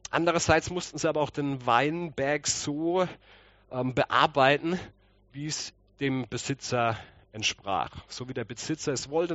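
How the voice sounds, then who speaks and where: A man's voice: medium pitch, a bit bright, dry recording, highs cut off. Male preacher, a touch congested from a cold. Conversational, explanatory delivery with rhetorical questions, recorded dry. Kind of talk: conversational sermon.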